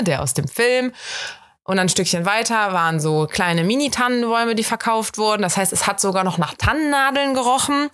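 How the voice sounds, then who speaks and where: A young woman from Germany, hosting a podcast, telling a story in casual, chatty fashion and acting out the quoted bits of dialogue. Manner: chatty and animated, voices quoted dialogue with big pitch jumps. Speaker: podcast host.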